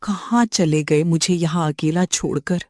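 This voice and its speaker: A woman's voice: deep voice, a little slow, a little mellow, dry recording, clean studio sound. A woman playing a lonely, abandoned old widowed mother in a cartoon drama. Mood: grieving.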